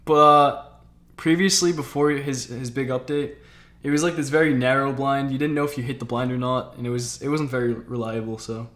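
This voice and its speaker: Chill streamer guy, medium pitch, casual gamer talk, repeats himself a bit. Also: fairly even intonation, a little fast, fairly bright tone. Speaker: streamer, host. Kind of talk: casual gaming chat.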